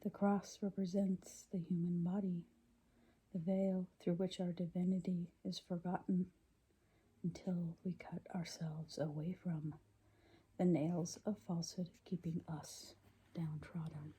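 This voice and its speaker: Soft breathy lady whispering poems asmr style, real slow, dark mellow tone. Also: fairly low pitch, little pitch movement, a little hiss or hum, poor audio. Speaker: poetry reader. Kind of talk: ASMR whispered poetry reading.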